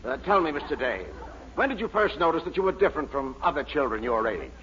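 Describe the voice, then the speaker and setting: An actor playing an earnest, awestruck newspaper reporter in a 1940s radio comedy, recorded with an audience on muffled, noisy equipment. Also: male voice, a little high, very animated, quick pace, fairly bright tone. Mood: earnest, inquiring. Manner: deferential, admiring.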